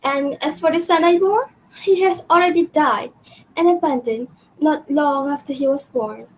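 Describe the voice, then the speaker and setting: An earnest, imperious queen of ancient Greece acted by a young female student speaks in a distinctly high voice. The delivery is that of an amateur radio drama. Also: normal speed, lightly acted, poor audio. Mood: pleading, reasoning. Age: young adult. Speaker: student actor.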